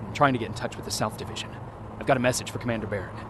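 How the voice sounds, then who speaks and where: An actor playing a weary young Resistance private in a video game, terse, low-key and serious. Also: male voice, rapid-fire, noisy background, natural voice. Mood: subdued, grave. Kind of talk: cinematic video game acting.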